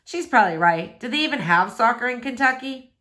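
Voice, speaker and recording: A woman's voice: a little high, animated, fairly quick, fairly bright tone. A woman reading the part of a brash teenage boy, a blunt and slangy best friend. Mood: blunt, curious.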